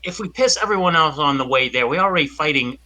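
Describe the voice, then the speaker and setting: A stubborn crew negotiator played by a man in a sci-fi tabletop game, earnest and argumentative. The voice sits fairly high and has a very bright, tense, forceful edge. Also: lively intonation, fast. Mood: pleading, insistent. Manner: insistent, argumentative. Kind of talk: improvised tabletop role-play.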